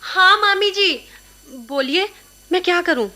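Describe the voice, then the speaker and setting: Very high-voiced, girlish young woman. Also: measured pace, pushed and bright, heavily acted. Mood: matter-of-fact. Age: young adult. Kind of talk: Hindi radio play acting.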